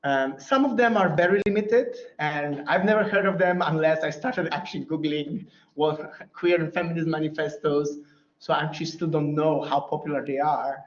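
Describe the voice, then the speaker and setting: A man's voice: fairly high pitch, normal speed. A man whose first language is Polish answers audience questions after a keynote lecture, thinking aloud with many fillers and restarts. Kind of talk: academic Q&A answer. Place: lecture hall. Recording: roomy, dull lecture hall audio.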